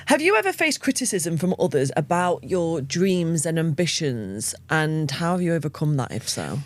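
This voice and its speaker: A woman with a deep voice who hosts an interview, frank and animated, swearing casually as she tells stories. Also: normal speed, no room sound. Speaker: host, business coach.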